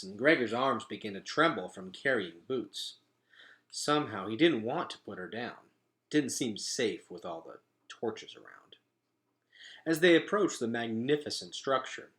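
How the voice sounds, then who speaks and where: A man reading a storybook aloud as narrator, in an expressive style. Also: medium pitch. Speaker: storybook reader.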